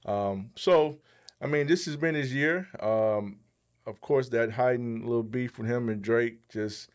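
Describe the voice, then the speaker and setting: A man co-hosting a podcast with casual topical commentary, halting and pausing in the middle of his thoughts. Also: mid-range voice, smooth. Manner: halting, pauses mid-thought. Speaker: podcast co-host.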